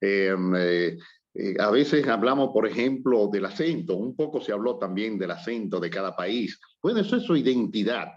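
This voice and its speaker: A man from the Dominican Republic with a Dominican Spanish accent, speaking reflectively in a relaxed conversation, often pausing with fillers. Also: on the low side. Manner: reflective, hesitant with fillers and restarts. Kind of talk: casual conversation on culture and language. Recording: slightly dull lo-fi audio.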